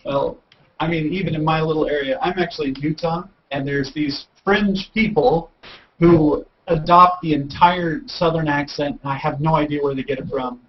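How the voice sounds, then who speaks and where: A man talks casually and somewhat tentatively in a group call. His voice sits fairly high for a man. The audio is dull, with some background noise and clicks. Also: fairly even intonation, normal speed. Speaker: hangout guest. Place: a remote spot on a video call. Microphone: video call. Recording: muffled, noisy video call with clicks.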